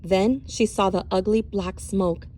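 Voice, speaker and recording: Female read-aloud narrator, teacher type, mid-range pitch, expressive storytelling delivery. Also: measured pace. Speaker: teacher reading a novel aloud.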